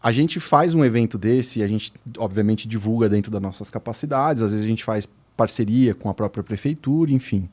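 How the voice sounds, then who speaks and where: A man's voice: on the low side, a little fast, few pauses, darkish tone. A man chatting informally and explaining things as a podcast host. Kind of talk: casual podcast chat.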